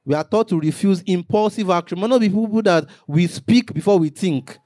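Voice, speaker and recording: Man preaching, fairly high voice. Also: brisk, mellow, dry recording, clean studio sound. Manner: preaching with rising emphatic bursts and acted quotes. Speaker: pastor. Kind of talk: sermon.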